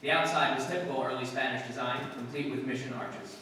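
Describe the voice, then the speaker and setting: An actor playing a dry, laconic police detective sergeant in a radio drama, with clipped, deadpan delivery. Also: male voice, mid-range voice, quick pace, close to an everyday voice. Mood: matter-of-fact narrating. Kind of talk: 1950s radio police drama acting, scripted narration. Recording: distant, echoey hall with long reverb, hiss.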